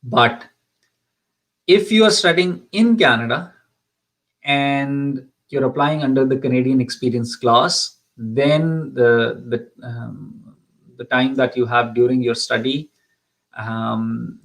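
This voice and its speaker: A man's voice: medium pitch, slow, a bit bright, poor audio. A man from Pakistan hosting a live question-and-answer session as an immigration consultant, speaking with frequent ums and restarts. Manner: hesitant, many ums and restarts.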